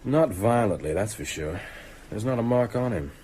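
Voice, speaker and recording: Deep-voiced, guarded doctor. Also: male voice, lively intonation, moderate pace, dark tone, slightly rough. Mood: matter-of-fact. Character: brisk, guarded small-town doctor, male, clinical. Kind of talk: radio drama acting.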